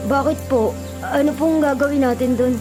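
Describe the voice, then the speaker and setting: A woman's voice: high-pitched, normal speed, over music, natural voice. A woman with a Filipino accent voicing a small, innocent, mistreated orphan boy in a radio drama, childlike and pleading. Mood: meek.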